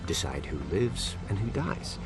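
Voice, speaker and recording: An actor playing a calm, fanatical scientist villain in a video game, musing in a condescending, self-satisfied monologue. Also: male voice, lowish voice, a little slow. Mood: cold, fatalistic. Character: smug, calm, fanatical male scientist villain justifying mass death. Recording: loud music under the voice.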